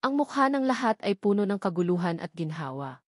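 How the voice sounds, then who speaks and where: A woman's voice: medium pitch, very animated, a little fast, a little mellow, no room sound, clean studio sound. A woman reading a scripted story as an audiobook narrator.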